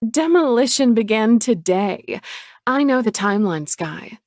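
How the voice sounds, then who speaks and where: A woman's voice: on the high side, expressive, measured pace, a little mellow, dry recording, studio quality, voice pushed up. A woman reading a novel aloud, voicing a scornful, defiant adult sister in a raised, sneering voice. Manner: sneering, dismissive.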